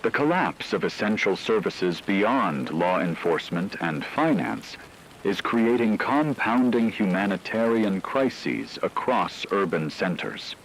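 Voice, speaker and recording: A man reading a scripted emergency news bulletin in a grave, measured, formal way, as a radio announcer. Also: low voice, a bit bright, a little hiss or hum, low-quality recording.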